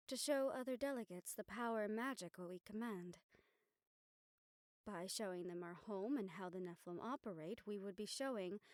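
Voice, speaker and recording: A woman playing an affectionate, scheming young noblewoman in an intimate audio roleplay, speaking formally and measuredly with thoughtful pauses. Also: medium pitch, darkish tone, dry recording, clean studio sound, lightly acted. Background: background music.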